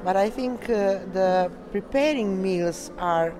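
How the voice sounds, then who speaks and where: A woman from Serbia with a Serbian accent, answering interview questions in halting, non-native English as a delegate. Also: mid-range voice. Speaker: coeliac association delegate being interviewed.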